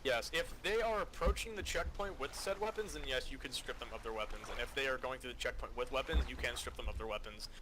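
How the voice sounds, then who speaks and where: A man's voice: medium pitch, fast talker, few pauses. A foul-mouthed, bossy man giving an improvised mission briefing as squad leader over online game voice chat. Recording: loud background noise, game ambience.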